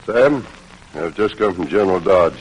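An army captain in a vintage radio drama. His low voice swings widely as he urges and implores, over faint recording noise. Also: expressive, moderate pace, rough recording. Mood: persuading, pleading. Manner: urgent, imploring, earnest. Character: persuasive, pleading Union army captain interrogating a prisoner. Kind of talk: old-time radio drama acting.

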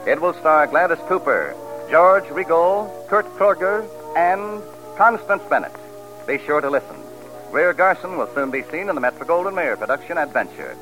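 A man with a fairly high voice announces a vintage 1940s radio show. His delivery is formal and polished but very melodic, with music clearly playing behind him. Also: animated, normal speed, a bit bright, rough recording.

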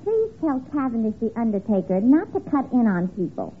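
A woman's voice: a little high, very animated, brisk, breathy edge, narrow, tinny audio, full character voice. An actress playing a chatty woman who hangs around a tavern, in 1940s radio comedy style. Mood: chatty complaining. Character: Miss Duffy, chatty female tavern regular. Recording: thin, slightly noisy old radio broadcast.

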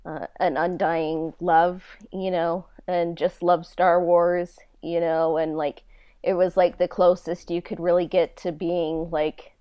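A woman talking casually and haltingly in a podcast interview, as the show's creator answering questions. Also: on the low side, little pitch movement, smooth, no echo, highs cut off. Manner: halting, conversational, frequent "like".

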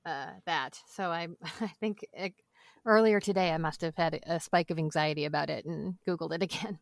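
A woman's voice: sits low, moderate pace, creaky, dry recording, studio quality. A woman from Massachusetts chatting casually as a podcast guest, hesitant with lots of fillers. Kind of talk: casual chat.

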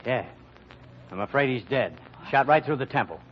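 An actor playing a shrewd, hard-boiled young investigator in an American old-time radio mystery, brisk and matter-of-fact. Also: male voice, mid-range voice, expressive, a little hiss or hum, lightly acted. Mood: grim. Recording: dull vintage radio recording.

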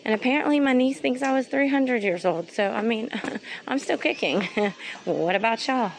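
A mom vlogging with heartfelt, rambling encouragement about faith and getting through hard times. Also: female voice, a little high, animated, normal speed, vocal fry, a little hiss or hum, poor audio. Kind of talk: motivational faith vlog talk.

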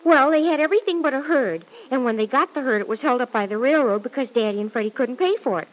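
A woman's voice: high voice, fast talker, close to an everyday voice, poor audio. An actress playing an eager, naive and polite young girl in a vintage radio serial, gushing and deferential. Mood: earnest, pleading. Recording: vintage radio drama recording.

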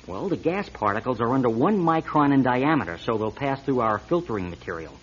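An actor playing an earnest, insistent auto lab technician turned whistleblower in a radio drama, hesitant at times and urgent at others. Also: male voice, mid-range voice, very animated, fairly quick, slightly rough. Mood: earnest, explaining. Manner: hesitant, then urgent and emphatic. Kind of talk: 1970s-style radio drama acting. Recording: dull lo-fi radio recording.

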